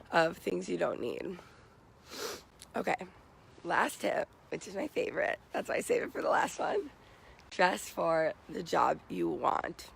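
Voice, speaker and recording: A young American man talks casually in a high-pitched voice for a man, with very heavy vocal fry and a raspy, hoarse edge. He sounds like a vlogger handing out tips, recorded outdoors with faint background noise. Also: fairly bright tone, low-quality recording. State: morning hoarseness. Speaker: vlogger giving job interview tips. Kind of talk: casual advice vlog.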